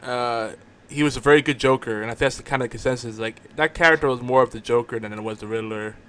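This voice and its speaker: A man's voice: mid-range voice, fast talker, fairly bright tone, clear, resonant tone. Easygoing man in casual co-host chat. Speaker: co-host of an audio drama talk show. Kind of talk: casual banter about films.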